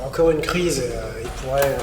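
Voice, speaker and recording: A man's voice: medium pitch, moderate pace, natural voice. An actor playing a casual, nervous male guard in an audio drama, hesitant and slangy. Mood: uneasy. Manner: hesitant, slangy, mumbling fillers. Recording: echoey hall, music underneath.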